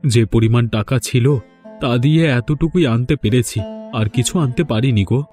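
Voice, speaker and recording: A man's voice: mid-range voice, normal speed, mellow, faint music behind, natural voice. A man voicing an aggrieved, put-upon village fisherman in a cartoon. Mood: defensive, weary. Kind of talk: Bengali cartoon voice acting.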